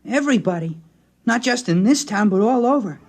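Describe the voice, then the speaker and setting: A quiet boy speaks in short, plain lines in a 1950s radio drama. His manner is calm, laconic and quietly philosophical. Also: mid-range voice, fairly quick. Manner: laconic, matter-of-fact.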